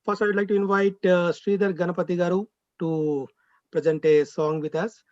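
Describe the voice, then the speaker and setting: A man's voice: sits high, level tone, moderate pace, a little mellow, no room sound. A man, a school director giving a hesitant event speech over a video call. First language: Telugu. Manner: hesitant, many fillers. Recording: dull, dry video call audio.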